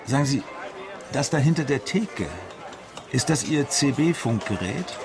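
An actor playing a mild, polite, quirky Dutch traveller with a hesitant, understated manner in a German radio drama. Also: male voice, medium pitch, animated, slow pace, over music.

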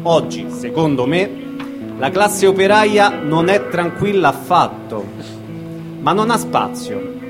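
A man speaking as a guest reader at a stage event, presenting a testimony aloud to an audience. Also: on the high side. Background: guitar music.

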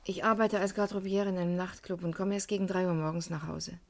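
A woman's voice: fairly low pitch, steady tone, quick pace, close to an everyday voice. A woman playing a guarded young Parisian in a 1980s radio crime drama, answering with reserve and a slight edge. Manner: reserved, slightly pointed replies.

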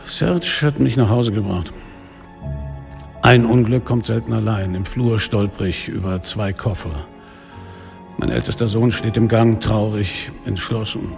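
An actor playing a weary, defiant middle-aged police inspector who narrates and speaks bluntly in a German radio crime drama. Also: male voice, on the low side, a little slow, vocal fry, a little mellow, soft background music. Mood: somber. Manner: blunt, sardonic, literary phrasing. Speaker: lead actor and first-person narrator.

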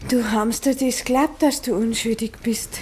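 Woman as a dark-toned, drowsy Bavarian wife. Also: a little high, steady tone, moderate pace, natural voice. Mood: sceptical. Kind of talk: 1950s dialect radio play acting. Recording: faint noise, old radio recording.

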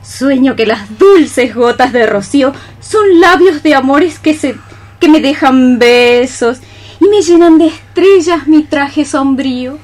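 A woman's voice: high voice, takes it slowly, darkish tone, soft background music. A woman playing a melancholy, dreamy cockroach mystic in radio theatre, sighing her lines as solemn lyrical verse.

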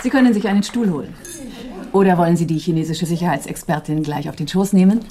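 Woman playing quick-witted secretary for radio drama: fairly low, warm timbre, composed, teasing. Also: natural voice. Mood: teasing, wry. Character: cool, quick-witted female secretary at chemical company. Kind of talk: German radio crime drama dialogue.

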